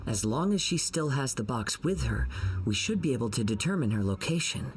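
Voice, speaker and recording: An actor voicing a calm, gentle young wandering swordsman in an anime video game. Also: medium pitch, fairly quick, close to an everyday voice. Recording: faint game music behind the voice.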